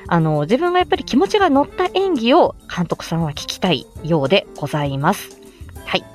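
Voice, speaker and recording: A woman's voice: medium pitch, very animated, brisk, faint music behind. A woman giving a casual, polite explanation on a livestream, chatty and encouraging, speaking into a smartphone. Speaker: amateur voice actor explaining how to make voice samples. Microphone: smartphone, close.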